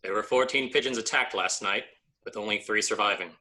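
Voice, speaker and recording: A man plays a serious cartoon news anchor bird. His voice is lowish with a very sharp, forceful edge, reading formally for a comedy radio show. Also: brisk.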